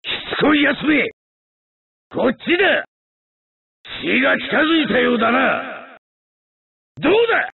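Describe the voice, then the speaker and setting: A haughty, savage male alien warrior for an anime fighting game. His voice is high-pitched for a man, with a gravelly edge, and he drags out sneering taunts and battle grunts very slowly. The clips sound dull and lo-fi. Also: no echo, heavily acted. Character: arrogant, brutal male alien Saiyan warrior.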